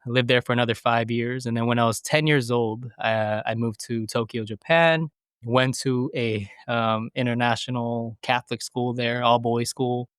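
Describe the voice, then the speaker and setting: A man's voice: medium pitch, fairly even intonation, normal speed, a bit bright, clear, resonant tone, no echo, clean studio sound. A man in his thirties from the United States, a podcast guest answering questions thoughtfully with frequent fillers and restarts. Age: 30s. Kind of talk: conversational interview.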